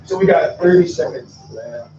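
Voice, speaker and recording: A man hosting a casual radio interview, talking very slowly and easygoing, his pitch rising and falling a lot. His voice sits a little high. The recording is dull and lo-fi. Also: warm tone.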